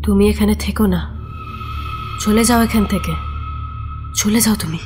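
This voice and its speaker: A woman acts out the spirit of a dead mother in a horror audio drama. She is resolute and protective, insisting with wounded authority. Her voice sits at a medium pitch, with strong music underneath. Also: measured pace, lightly acted. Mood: stern, insistent. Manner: insistent, commanding. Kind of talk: audio horror story acting. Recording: music behind the voice.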